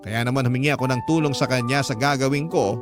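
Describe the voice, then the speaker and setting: A man's voice: medium pitch, steady tone, fast talker. A man narrating a scripted first-person love story as the storyteller of a radio-style series. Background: music clearly under the voice.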